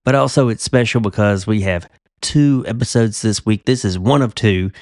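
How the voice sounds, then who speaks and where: A man with a lowish voice hosts a relaxed talk show about television. He sounds a little congested, speaking conversationally with small restarts. The recording is close and dry. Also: moderate pace, dry recording. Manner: conversational, slightly self-interrupting. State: stuffy from a cold. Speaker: podcast host. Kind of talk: casual chat.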